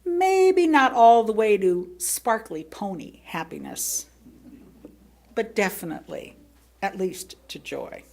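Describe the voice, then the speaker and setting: Slow, expressive American woman reading a sermon. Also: mid-range voice. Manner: expressive, theatrical emphasis with pauses. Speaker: lay reader delivering a written sermon. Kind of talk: sermon read aloud as expressive personal storytelling.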